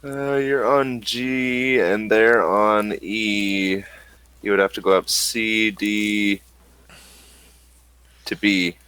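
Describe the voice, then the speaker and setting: A man as game master, narrating a tabletop game in a relaxed, hesitant way. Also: on the low side, drawn-out words, long pauses, smooth, no room sound.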